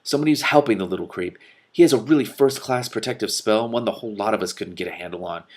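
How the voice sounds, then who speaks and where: A man's voice: on the low side, fast, darkish tone. A man voicing a hot-tempered male fire witch in a storybook, vehement, emphatic and aggrieved. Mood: angry. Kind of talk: storybook read aloud.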